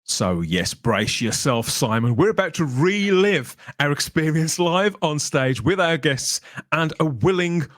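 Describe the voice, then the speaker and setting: A man's voice: fairly high pitch, lively intonation, measured pace, a bit bright, dry recording, clean studio sound. A man hosting a podcast, reading a chatty, flowery, promotional show introduction. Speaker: podcast host.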